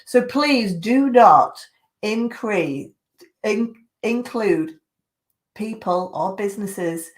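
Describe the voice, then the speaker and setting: A middle-aged woman with a croaky voice from a chest infection, giving social media tips in a chatty live stream. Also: medium pitch, lively intonation, drawn-out words. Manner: chatty, greets viewers by name.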